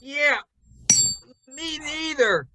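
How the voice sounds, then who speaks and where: A man's voice: very high-pitched, lively intonation, very slow, sharp tone, a little hiss or hum, exaggerated voice. A man playing a glum, sardonic co-worker at his wits' end in an old-time radio drama, gloomy and wisecracking.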